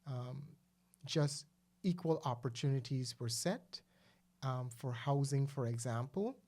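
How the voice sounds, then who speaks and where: A man's voice: mid-range voice, a little slow, a little mellow. A man, co-hosting a casual discussion show, speaking earnestly and thoughtfully with frequent hesitations and restarts. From Guyana. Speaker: podcast co-host.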